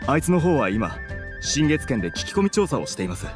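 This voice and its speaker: A man's voice: medium pitch, very animated, a little fast, over music, close to an everyday voice. A man voicing an earnest, dutiful subordinate agent who speaks formally, in a Japanese video game. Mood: apologetic, reporting.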